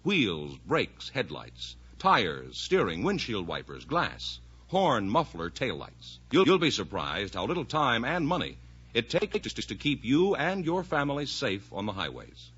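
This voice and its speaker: A man's voice: medium pitch, huge pitch swings, measured pace, a bit bright, husky. A man announcing on a 1950s radio show and delivering sponsor messages. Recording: dull, muffled vintage radio recording.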